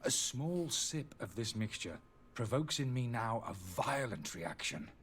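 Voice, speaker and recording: An actor playing a disgraced, indignant alchemist in a fantasy video game, pleading and justifying himself theatrically in a slightly husky voice. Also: male voice, mid-range voice, very animated, measured pace, fairly bright tone, slight background noise. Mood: defensive, agitated. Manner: agitated, defensive, theatrical delivery.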